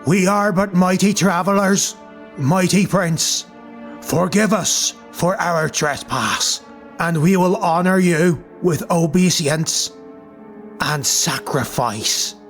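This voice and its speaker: A man's voice: high voice, pitched above the performer's own voice, heavily acted. Man voicing a strained, deferential warrior. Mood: strained, placating. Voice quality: loud, strained. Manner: measured, deferential. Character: fawning, fearful warrior pleading humbly with a lord. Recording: music behind the voice.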